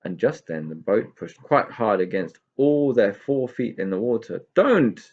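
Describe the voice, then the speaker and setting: A man with a gravelly, husky voice reading a children's story expressively as a storyteller. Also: on the low side, lively intonation, moderate pace, lo-fi sound.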